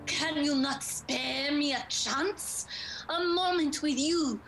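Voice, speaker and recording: A woman's voice: high-pitched, slow, a bit bright, soft background music, exaggerated voice, poor audio. A woman acting a lovesick man who comes back for his old sweetheart, overwrought and pleading, in a comedy radio play. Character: lovesick male former sweetheart returning.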